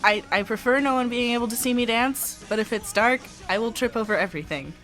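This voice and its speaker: A woman's voice: fairly high pitch, brisk, fairly bright tone, faint music behind. A woman co-hosting a casual chat show, teasing and laughing along. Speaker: co-host. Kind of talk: casual banter.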